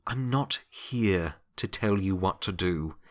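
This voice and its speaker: A man voicing a centuries-old pony who was once Death, gentle and wry, speaking in a halting, rueful, reassuring way in an audio story. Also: deep voice, expressive. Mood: earnest, sombre. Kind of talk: dramatic audiobook character acting.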